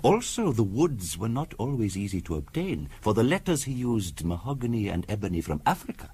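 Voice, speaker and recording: An actor playing a solemn, courteous mystic in a radio drama, speaking in a measured, formal, portentous storytelling manner. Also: male voice, lowish voice, big pitch swings, mellow, breathy edge, heavily acted. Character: solemn, courteous male mystic recounting a family curse. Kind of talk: 1970s radio drama acting.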